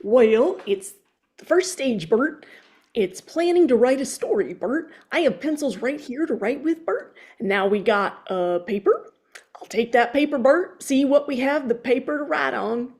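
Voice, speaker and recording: A woman's voice: sits high, animated, a little slow, darkish tone. A woman voicing a cheerful, chatty male children's TV puppet during a screenplay table read, playful and repetitive. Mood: cheerful, eager.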